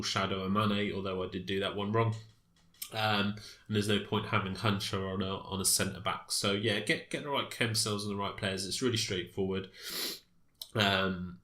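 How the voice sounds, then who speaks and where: A man's voice: on the low side, level tone, normal speed, pushed and bright, echoey room. A man with a stuffy, congested voice from a cold, casually commenting on his game with many fillers and restarts. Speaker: gaming commentator.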